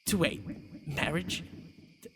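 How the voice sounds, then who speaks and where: A man playing a centuries-old vampire lover, tender, possessive and flustered, halting and sighing in an intimate ASMR romance roleplay with night insects faintly behind him. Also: noisy background, close to an everyday voice. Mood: flustered, disbelieving. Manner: halting, stammering, breathy sighs.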